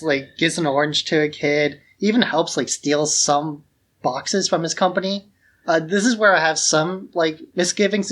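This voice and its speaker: Informal man chatting on a film podcast. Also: a little high. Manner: informal, frequent filler "like". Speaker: podcast co-host. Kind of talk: casual conversational film discussion.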